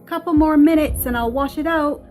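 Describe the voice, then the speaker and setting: A woman's voice: sits high, moderate pace, a little mellow, soft background music, pitched above the performer's own voice, natural voice. A woman voicing a brusque, impatient mother, curt and dismissive, in a screenplay reading.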